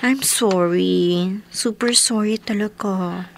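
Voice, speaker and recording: A woman's voice: mid-range voice, slow pace, gravelly, slight background noise. An actress playing an apologetic, anxious young working woman in a radio drama, in her twenties, with a Filipino accent. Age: 20s.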